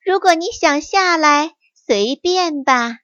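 A woman's voice: sits high, lively intonation, slow, fairly bright tone, no room sound, lo-fi sound, pitched above the performer's own voice, full character voice. A woman voicing a sly, scheming male fox in a children's story, coaxing and falsely casual.

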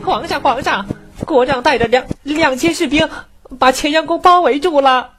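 A man's voice: very high-pitched, normal speed. A man voicing a panicky, loyal palace eunuch in a Chinese costume radio drama. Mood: alarmed. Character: shrill, panicky old palace eunuch loyal to his emperor. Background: background music.

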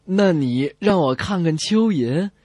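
A radio comedy host voicing a wryly strict old male park caretaker in slow, drawn-out scolding. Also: mid-range voice, expressive, dark tone, no echo. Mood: wry, teasing.

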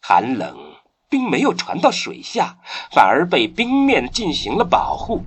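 Male narrator, scripted radio drama storytelling; slightly high voice, unhurried, dramatic delivery. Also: wildly swooping pitch, slight background noise. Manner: theatrical, storytelling. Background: music.

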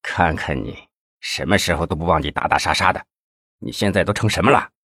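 Narrator voicing a low, dragging, world-weary official, storybook drama. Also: male voice, no room sound, clean studio sound. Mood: weary scolding. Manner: slow, heavy, sighing. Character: aging male party official, weary, scolding uncle.

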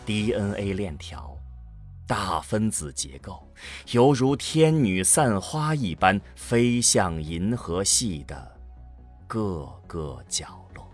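A man with a low voice narrates a science fiction audio drama. His delivery is slow and solemn, with pauses set for effect. The pitch swings widely from phrase to phrase, giving the storytelling a theatrical sweep. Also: very animated, soft background music.

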